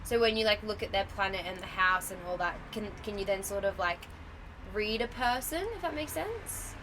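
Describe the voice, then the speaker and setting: A woman hosting a casual interview, curious, asking questions and thinking aloud until her voice trails off quietly. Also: medium pitch, animated, moderate pace, noisy background. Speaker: podcast host.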